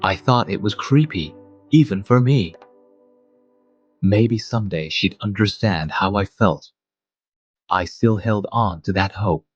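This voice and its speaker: A man playing a mild, wistful adult guardian, narrating a manga dub in a measured, reflective way. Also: on the low side, breathy edge, no room sound, muffled recording, soft background music. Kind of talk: scripted first-person manga dub narration.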